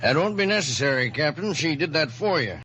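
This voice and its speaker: A man's voice: a little high, animated, brisk, pushed and bright, slight background noise. A man playing a plain-spoken, cynical sheriff with a folksy, wry manner in 1940s radio drama. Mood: wry, puzzled. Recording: faintly humming old radio recording.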